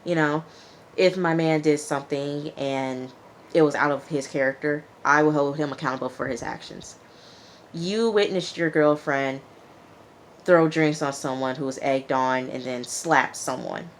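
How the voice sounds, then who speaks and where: Woman w low voice doing a chatty tv recap, lots of opinions, noisy background. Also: unhurried. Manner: conversational, opinionated, acts out quotes. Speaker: TV show reviewer. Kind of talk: casual reality TV recap commentary.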